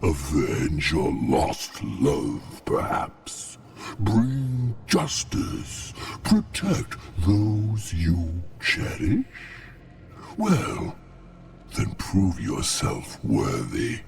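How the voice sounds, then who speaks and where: A man's voice: sits low, wildly swooping pitch, extremely slow, heavily acted. A man voicing a taunting, menacing dragon villain in a video game, with a growling, theatrical, mocking delivery. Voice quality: gravelly, breathy growl. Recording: music behind the voice. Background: clear music.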